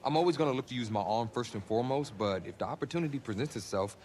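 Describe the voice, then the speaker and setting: A man's voice: mid-range voice, lively intonation, fast talker, a little mellow, slight background noise, natural voice. A man playing a confident, earnest young backup quarterback in a video game cutscene, talking casually. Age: young adult.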